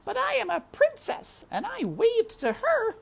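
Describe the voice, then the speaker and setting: A woman's voice: extremely high pitch, huge pitch swings, measured pace, creaky, voice pushed up, heavily acted. A woman voicing a petulant, haughty young princess, indignant and entitled, in a storybook read-aloud. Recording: windy outdoor ambience noise.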